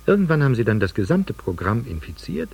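A man reading a formal radio script in a measured, articulate manner. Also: medium pitch, sing-song, darkish tone, husky. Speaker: radio speaker.

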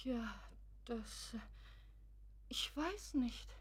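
A woman's voice: a little high, animated, drawn-out words, slightly rough, heavily acted. An actress playing a sentimental, timid woman devoted to her neighbour in a German radio drama, earnest, anxious and tender. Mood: flustered, hurt.

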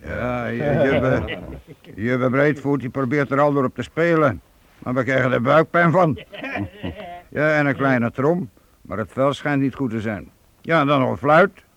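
A man's voice: mid-range voice, a little slow, fairly bright tone, muffled recording. An actor playing a brash, proud medieval townsman who leads guild archers, blunt and mocking, in a radio play. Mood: relaxed, friendly. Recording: faintly noisy old radio play recording.

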